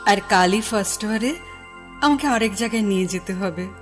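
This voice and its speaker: An actress playing a middle-aged woman, a soft and wistful lover, in a radio drama. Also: mid-range voice, brisk, faint music behind, lightly acted. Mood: tender.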